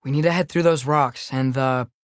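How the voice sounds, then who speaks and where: A man's voice: medium pitch, steady tone, normal speed, dry recording, lightly acted. Earnest teenage boy adventurer, close dry sound, acted by a man. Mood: exploring, focused. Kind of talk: video game character acting.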